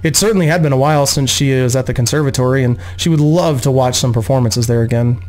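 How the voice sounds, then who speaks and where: A man's voice: medium pitch, fast, darkish tone. A man in his thirties reading a fantasy story aloud as dramatic audiobook narration, close to a desk microphone. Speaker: reader of a play-by-post game journal. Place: small home room, indoors.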